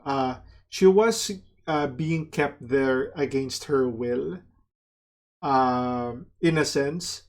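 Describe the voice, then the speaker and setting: Slow-paced casual male reaction host. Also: medium pitch. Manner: informal, slangy, halting.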